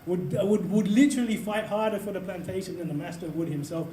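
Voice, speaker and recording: Impassioned man speaking, high voice, echoey hall. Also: brisk, warm tone, slight background noise. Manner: impassioned, laughing often, punchy asides. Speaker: invited speaker at a debate. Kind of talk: public debate speech. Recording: echoey hall, mic from a distance.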